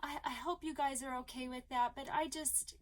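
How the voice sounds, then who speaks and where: Upbeat woman vlogger with a high voice, slightly hoarse, rambling chat full of ums. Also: steady tone, normal speed. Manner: chatty, rambling with many ums and restarts; eager and upbeat. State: slightly hoarse, losing her voice. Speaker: crochet vlogger. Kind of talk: casual chat to viewers.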